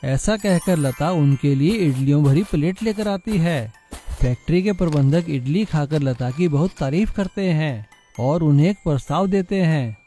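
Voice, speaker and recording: A man's voice: fairly high pitch, lively intonation, normal speed, dark tone, soft background music. A man narrating a scripted moral story as a storyteller.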